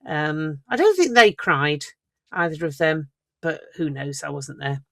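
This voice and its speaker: Woman co-host, low voice, rambling chatter, dry close recording. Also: lively intonation, measured pace, vocal fry, a bit bright, no room sound. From England. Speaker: podcast co-host. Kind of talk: casual chat.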